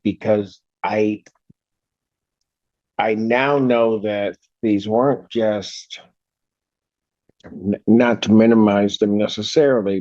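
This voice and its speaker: A man speaking as a judge from the bench, stern and emphatic, often halting and repeating himself. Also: on the low side, a little mellow, lo-fi sound. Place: courtroom.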